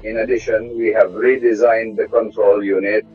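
Man calmly explaining cockpit controls. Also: on the low side, husky. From Russia. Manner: measured, technical, step-by-step. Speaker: test pilot. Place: aircraft cockpit inside a hangar. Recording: slightly dull sound, faint music behind.

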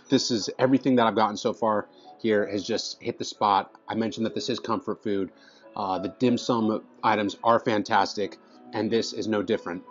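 A man in his thirties reviewing food to camera at a restaurant table, eager and chatty, often restarting his phrases between bites. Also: on the low side. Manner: enthusiastic, restarts phrases while tasting. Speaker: food vlogger. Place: indoor restaurant dining room. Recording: slightly dull sound, faint music behind.

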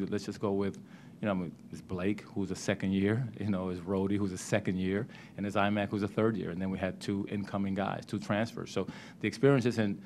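A middle-aged man, a head basketball coach, answering postgame questions at a press conference table microphone, measured and restarting words as he thinks. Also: low-pitched, darkish tone, slight background noise. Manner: measured, repeats words when starting sentences. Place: press room.

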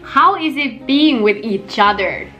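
A woman's voice: fairly high pitch, expressive, a little slow, pushed and bright, muffled recording. Finnish-accented young woman, music behind. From Helsinki, Finland. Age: 20s. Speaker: vlog host. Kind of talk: casual Q&A chat. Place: small home kitchen, indoors.

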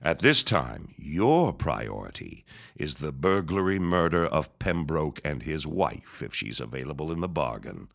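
An actor playing a scheming, vengeful old reverend in a period audio drama, menacing and grandiloquent in his deliberate speech. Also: a bass voice, very animated, vocal fry, exaggerated voice. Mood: smugly menacing. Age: older.

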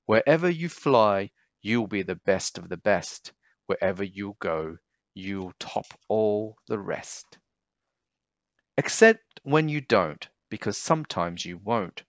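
A man reading a children's storybook aloud expressively, with a deliberate, sing-song delivery of rhyming verse. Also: lowish voice, big pitch swings, no room sound, lo-fi sound. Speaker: storybook reader.

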